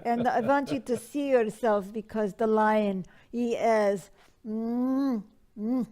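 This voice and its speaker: A woman's voice: fairly high pitch, slow pace, warm tone. A woman voicing a flamboyant, dramatic dead old madame who calls people darling and gives advice. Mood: theatrical. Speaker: advice show persona.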